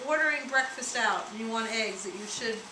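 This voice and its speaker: A middle-aged woman visiting a small farm store, chatting as a curious customer and exclaiming at what she learns. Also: a little high. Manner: enthusiastic, quick to exclaim. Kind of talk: casual chat. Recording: echoey room, background noise.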